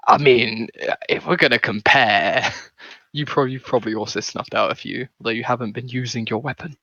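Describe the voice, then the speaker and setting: A man's voice: medium pitch, fairly even intonation, moderate pace, sharp tone, dry recording. A man playing in a tabletop game, talking casually and asking lots of questions. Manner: chatty, asks lots of questions. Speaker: player. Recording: dull lo-fi voice chat mic.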